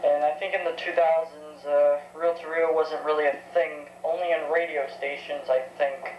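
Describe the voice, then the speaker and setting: A woman's voice: deep voice, fairly even intonation, moderate pace, muffled recording. Teenage girl in an echoey room. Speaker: vintage tech hobbyist demonstrating a tape recorder. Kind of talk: casual unscripted equipment demonstration. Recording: roomy echo with faint background noise.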